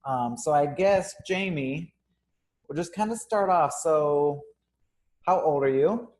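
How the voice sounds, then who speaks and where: A man's voice: fairly high pitch, animated, slow pace, a little mellow. A young man who owns a gym, hosting a casual live interview with frequent ums and uhs. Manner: casual, filler-heavy, upbeat. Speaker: gym owner and host.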